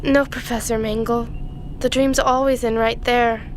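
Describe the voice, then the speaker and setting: A woman's voice: on the high side, little pitch movement, unhurried, a little hiss or hum, close to an everyday voice. A woman playing an eager, anxious teenage orphan girl in a science fiction audio drama. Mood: anxious, vulnerable.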